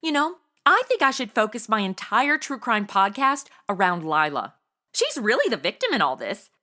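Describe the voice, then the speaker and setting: A bright, high-voiced young woman in a radio comedy. She is ditzy and boy-crazy, gushing and oversharing with flippant emotion. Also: wildly swooping pitch, normal speed, exaggerated voice. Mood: breezy, oversharing. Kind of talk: comedy audio drama acting.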